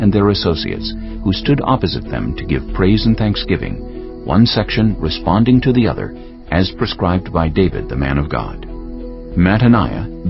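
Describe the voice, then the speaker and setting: Very deep, gravelly male scripture narrator. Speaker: audiobook narrator. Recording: slightly dull audio with music behind. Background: clear background music.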